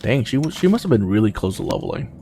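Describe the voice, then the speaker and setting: A man's voice: medium pitch, very animated, fast, warm tone, slight background noise. A man giving casual gameplay commentary, thinking aloud as he weighs his choices. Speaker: gamer commenting on own playthrough.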